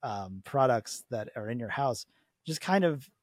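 A man talking in a relaxed, conversational way as a panelist in a casual podcast discussion. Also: medium pitch, unhurried, dry recording, clean studio sound.

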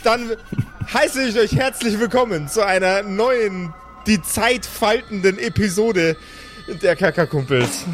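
A man's voice: high-pitched, unhurried, fairly bright tone, faint music behind. A man narrating an improvised audio play as game master, with dramatic storytelling.

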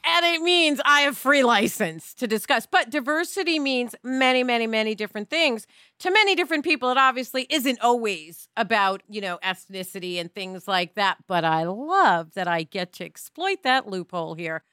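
A woman with a high, bright voice hosts a casual podcast, recorded close and dry. She is effusive and emphatic, swooping lively through her sentences and wandering into asides. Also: normal speed, clear, resonant tone, dry recording. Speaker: podcast host.

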